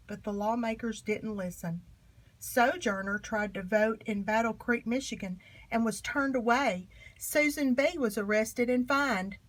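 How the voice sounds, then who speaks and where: A woman reading a children's history book aloud in an expressive, emphatic storytelling manner. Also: fairly high pitch, low-quality recording. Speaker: storybook reader.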